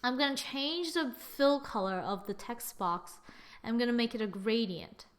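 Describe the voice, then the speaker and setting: A young woman talking through a software tutorial in a chatty, self-mocking way, thinking aloud as she clicks. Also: a little high, normal speed. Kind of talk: screen-recorded software tutorial.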